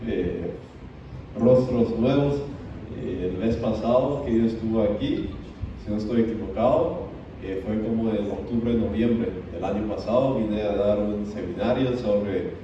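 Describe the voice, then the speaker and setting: A man teaches a church seminar and pauses often on "eh" as he goes. He is heard from a distance in a strongly echoing church. The sound is dull and muffled, with faint noise underneath. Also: lowish voice. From Belize. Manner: frequent "eh" hesitations.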